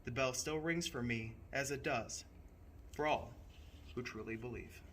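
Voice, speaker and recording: Male storyteller, mid-pitched and forceful, theatrical children's book delivery, deliberate pauses, slight background noise. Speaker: storyteller playing a train conductor. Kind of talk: expressive children's storybook narration.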